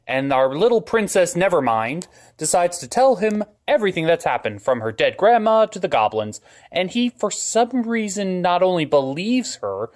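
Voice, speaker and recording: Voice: medium pitch, lightly acted. A performer voicing a sarcastic puppet film reviewer who recaps a movie with comic exasperation.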